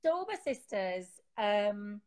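A woman's voice: medium pitch, big pitch swings, slow, a little mellow. A woman with a Geordie accent, a guest on a live interview, talking casually from her sofa on a phone, rambling with many fillers and restarts. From Newcastle, England. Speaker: guest, founder of an online support group. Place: home, sitting on a sofa.

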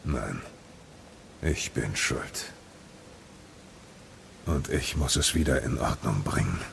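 A grim, burdened warrior father in an audio drama. His voice is extremely deep and gravelly. He speaks slowly, in terse, stoic phrases. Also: expressive, a bit bright, slight background noise, exaggerated voice. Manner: terse, stoic, clipped short sentences. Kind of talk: German audio drama acting.

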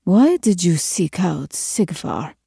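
A woman's voice: on the low side, big pitch swings, slow, creaky, warm tone, husky, no echo, clean studio sound, heavily acted. A woman voicing a stern, imperious queen in an audiobook story, cold, clipped and commanding. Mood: sharp, commanding. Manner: clipped, authoritative, scolding.

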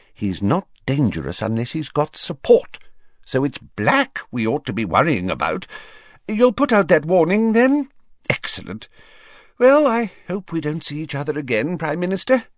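A man voicing a flustered, blustering middle-aged wizard minister who talks in a fussy, hurried, falsely reassuring way. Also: fairly high pitch, wildly swooping pitch, dry recording, studio quality, pitched above the performer's own voice, exaggerated voice. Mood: bluffly reassuring.

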